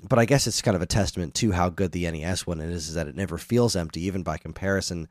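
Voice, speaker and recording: Man, low voice, casual podcast host, enthusiastic commentary, close dry sound. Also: quick pace, no echo. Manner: enthusiastic, hums melodies to illustrate. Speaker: music discussion podcast host.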